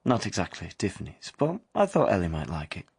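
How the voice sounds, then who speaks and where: Animated young working-class lad. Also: male voice, low-pitched, brisk, gravelly, a little hiss or hum, heavily acted. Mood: wistful. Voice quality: colloquial, plain-spoken. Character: young working-class English drifter, romantic, blunt and wary. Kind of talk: radio play acting and first-person narration.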